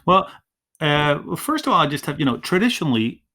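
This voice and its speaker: Swedish-accented man, halting, full of restarts. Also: a little high, sing-song, sharp tone. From Sweden. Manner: restarts a lot, acts out classroom dialogue. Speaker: ESL teacher, podcast guest. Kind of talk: casual interview conversation.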